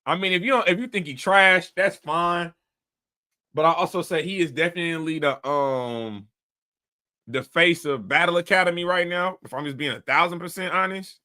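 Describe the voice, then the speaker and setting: Male host, high bright voice, blunt rambling commentary. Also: normal speed. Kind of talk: casual commentary.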